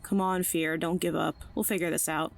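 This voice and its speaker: A woman's voice: lowish voice, fairly even intonation, normal speed, gravelly. A woman playing a blunt, loyal, ordinary friend in an audio drama, speaking casually. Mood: worried, urging.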